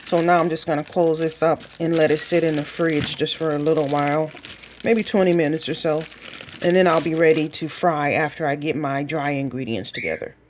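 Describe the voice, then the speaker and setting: A woman's voice: low-pitched, steady tone, a little fast, slight background noise. Chatty woman cooking at home. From Minnesota. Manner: chatty, folksy, talks straight to viewers. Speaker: cooking vlogger. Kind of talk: casual cooking demonstration. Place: home kitchen.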